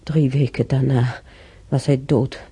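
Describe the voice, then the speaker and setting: An actress playing an older woman in a radio play, musing haltingly and sorrowfully over the past in a husky, gravelly voice. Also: extremely low pitch, steady tone, mellow, full character voice. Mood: indignant, grieving. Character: older woman, sorrowful, brooding, recalling war years. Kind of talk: radio play monologue, reminiscing.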